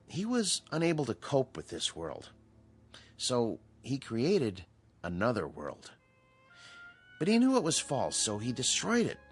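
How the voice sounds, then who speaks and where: An actor playing a calm, authoritative psychiatrist in a radio drama, speaking in a measured, explanatory way. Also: male voice, fairly low pitch. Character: calm, authoritative male psychiatrist at a mental hospital.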